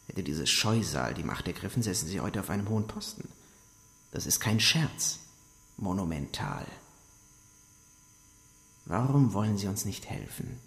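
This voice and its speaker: An actor in a German radio drama playing a menacing Soviet secret police interrogator, formal and insistent. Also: male voice, fairly low pitch, creaky. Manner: insistent, coaxing, formal bureaucratic address. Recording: long echoing hall reverb.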